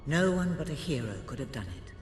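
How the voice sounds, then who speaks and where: An actor playing a grave, commanding mystic guide in a video game, speaking in a measured, portentous manner. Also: male voice, on the high side, animated, natural voice. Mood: solemn, urging. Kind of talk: dramatic video game cutscene acting. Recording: echoey long reverb, music behind.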